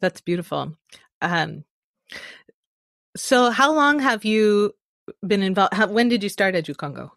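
A woman hosting a podcast who reads a guest introduction and then asks gently curious questions, affirming the guest and hesitating with "um" before asking. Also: medium pitch, animated, a little slow, creaky, pauses often, no echo, studio quality. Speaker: podcast host.

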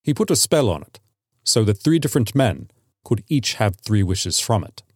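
A man voicing a middle-aged sergeant major in a story, grave and haunted, speaking in a quiet, deliberate, warning way. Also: on the low side, very animated, breathy edge, no room sound, studio quality. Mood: solemn.

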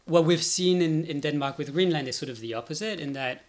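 A man's voice: on the high side, a little fast. A man speaking as an academic guest expert, explaining politics analytically and often checking in as he goes.

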